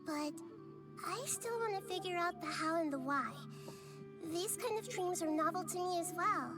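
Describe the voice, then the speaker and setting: A woman voicing a small, wise girl deity in a game, speaking in a gentle, thoughtful, measured way over background music. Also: very high voice, animated. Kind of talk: game voice acting.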